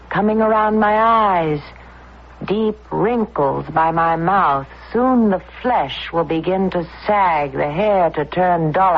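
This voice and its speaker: A woman playing a ruthless, seductive lover in a radio drama, deliberate, insistent and coolly commanding. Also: on the low side, breathy edge. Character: seductive, ruthless, world-hungry scheming lover. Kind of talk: old-time radio drama acting. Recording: dull lo-fi radio recording, faint noise.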